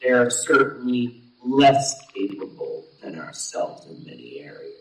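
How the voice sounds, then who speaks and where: A man playing a grave, impatient alien warrior in an audio drama, speaking in formal, measured, solemn lines. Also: mid-range voice, big pitch swings, creaky, a bit bright, heavily acted. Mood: stern, urgent. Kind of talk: scripted audio drama dialogue. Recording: roomy echo, distant from mic.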